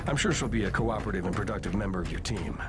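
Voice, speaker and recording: An actor playing a brisk, diplomatic expedition boss in a video game, managerial in manner. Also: male voice, on the low side, animated, natural voice. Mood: businesslike. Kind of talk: video game cutscene acting. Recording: noisy ambience behind voice.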